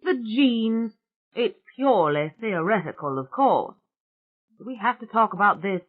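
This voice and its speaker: A woman's voice: mid-range voice, lively intonation, measured pace, pitched above the performer's own voice. A woman voicing a gracious, firm older society lady who speaks in a genteel, commanding way. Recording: tinny phone-like sound.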